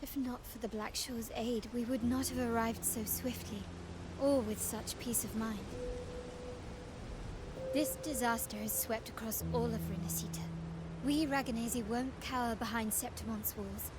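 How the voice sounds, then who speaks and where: A woman acting a loyal warrior envoy in a fantasy game. Her voice is fairly high and her speech formal and declamatory, over very loud background noise. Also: steady tone, normal speed, lightly acted. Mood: resolute, respectful. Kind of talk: voiced game cutscene dialogue. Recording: very noisy ambient background.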